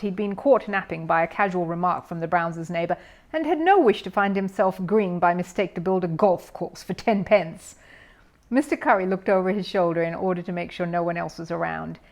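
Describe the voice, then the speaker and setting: A woman's voice: fairly low pitch, expressive, brisk. A woman reading a children's story aloud as scripted narration.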